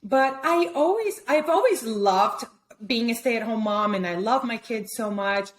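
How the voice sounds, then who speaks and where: A woman's voice: a little high, lively intonation, a little slow. Brazilian woman chatting, Portuguese accent. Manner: chatty, many fillers and restarts. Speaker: guest photographer. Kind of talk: casual interview chat.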